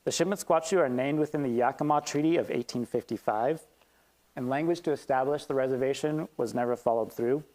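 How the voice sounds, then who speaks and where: A man hosts a community talk evening, reading prepared remarks in a steady manner. When he thanks sponsors and introduces the guest, he talks more loosely, with a few ums. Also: mid-range voice, fairly quick, rough recording. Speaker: event host. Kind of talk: reading a land acknowledgement and introducing a speaker. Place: event hall with audience.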